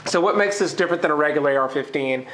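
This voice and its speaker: A man's voice: fairly high pitch, very fast, a bit bright, slight background noise. A man with a head cold giving an informal, technically detailed product review to camera. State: congested with a cold. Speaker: gun reviewer. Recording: echoey, roomy sound.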